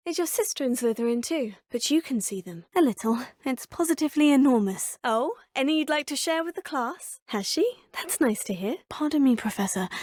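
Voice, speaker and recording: A woman's voice: high-pitched, no echo. A polite young student witch. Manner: polite, measured questions. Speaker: player character. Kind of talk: video game voice lines. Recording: dry studio recording.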